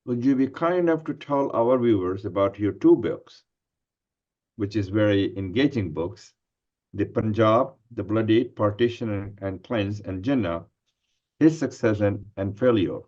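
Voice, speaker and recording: A man with a lowish voice hosts an interview, asking formal questions in a halting way. The sound is slightly dull and lo-fi. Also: darkish tone. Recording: dull, lo-fi audio.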